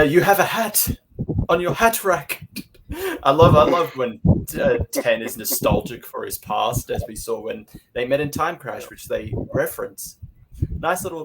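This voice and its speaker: A man's voice: a little high, creaky, heavy background noise. A man chatting casually as a reviewer on an online call. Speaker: podcast guest reviewer. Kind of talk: casual chat.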